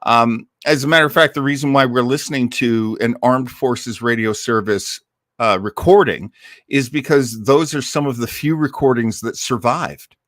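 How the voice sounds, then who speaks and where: A man's voice: mid-range voice, moderate pace, a bit bright, no room sound, studio quality. A man speaking as a host in casual, enthusiastic and digressive commentary to his live audience, with lightly dramatic emphasis. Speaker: host of an old-time radio listening party.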